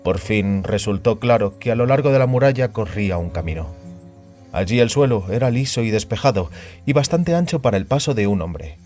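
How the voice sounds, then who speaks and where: Male narrator for scripted audio fiction, lower register, light music bed behind the read. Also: lively intonation, brisk, a little mellow.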